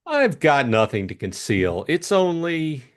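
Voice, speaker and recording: Man playing young upper-class gentleman, flustered, indignant, protesting, very lively intonation, audiobook character. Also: a little high, measured pace, voice pushed up. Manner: protesting, stammering repetition.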